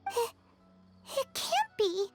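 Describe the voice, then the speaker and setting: A woman voicing a tiny, childlike female fairy companion in a video game, with a squeaky, expressive, earnest delivery. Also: slow pace, over loud music, full character voice. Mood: worried. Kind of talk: English video game voice acting.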